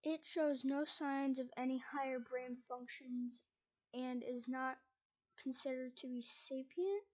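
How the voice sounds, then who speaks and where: A woman's voice: high voice, steady tone, a little slow, a little mellow, rough recording. A woman reading a document aloud, stumbling over words and restarting lines as she goes. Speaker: reader.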